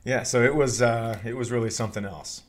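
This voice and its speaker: A middle-aged American man with a mid-range voice talks casually on a comedy podcast. He tells animated stories and playfully puffs himself up as he goes. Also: mid-range voice, a little fast, a little hiss or hum.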